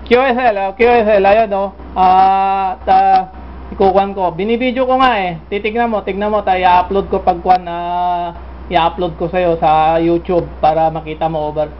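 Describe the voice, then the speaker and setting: A man with a Filipino accent chatting casually as an amateur radio operator, halting and using radio jargon. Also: sits high, steady tone. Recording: dull audio with faint noise.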